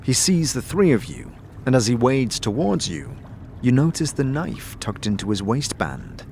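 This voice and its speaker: A man's voice: medium pitch, big pitch swings, unhurried, a little mellow. A man delivering dramatic scripted narration as the game master of a fantasy story. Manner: descriptive second-person storytelling. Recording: clear background noise, ambience.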